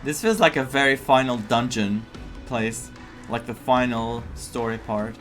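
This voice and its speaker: A man commentates casually on his video game playthrough. His mid-range voice rambles with excitement, stumbling as he reaches for words. Faint music runs behind him. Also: medium pitch, a little slow. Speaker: game streamer.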